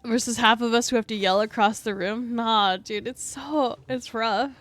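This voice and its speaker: A woman VTuber chatting casually while she streams a game, with a soft, small voice. She rambles, says "like" constantly and swears offhandedly, sitting very close to her mic at home. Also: on the high side, steady tone, normal speed, no echo. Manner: rambling, fills every phrase with "like", swears casually. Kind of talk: casual gameplay stream chat. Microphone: desk mic placed very close to the face.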